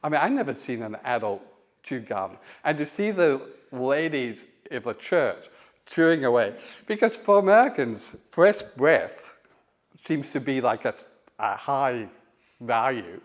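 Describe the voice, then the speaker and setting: A middle-aged man giving an informal church teaching talk, pausing often with ums and now and then laughing along with the group. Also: mid-range voice, very animated. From born in Scotland, raised in Melbourne. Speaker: guest teacher. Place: church hall.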